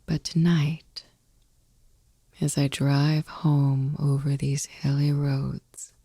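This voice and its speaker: A woman reading poetry as a sleep ASMR podcast host in a soft, breathy whisper, slow and soothing, close to the microphone. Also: deep voice, level tone, no echo.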